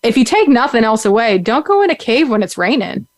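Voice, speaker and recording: A woman's voice: a little high, big pitch swings, a little fast, no room sound, studio quality. A woman hosting a podcast, talking casually with her co-host and husband in a rambling, chatty way full of fillers and restarts.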